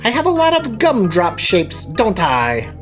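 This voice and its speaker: A man's voice: high voice, wildly swooping pitch, measured pace. A man hosting a casual step-by-step drawing tutorial, talking in a chatty, jokey way as he thinks aloud. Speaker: drawing instructor and host. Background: background music.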